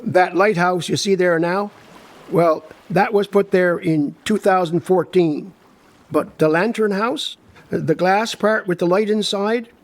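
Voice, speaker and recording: Folksy actor as an older Newfoundland storyteller, higher-pitched. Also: male voice, a little mellow. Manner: folksy, chatty, with asides. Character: Adrian, friendly older village man reminiscing proudly. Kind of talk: storytelling monologue in folksy conversational voice.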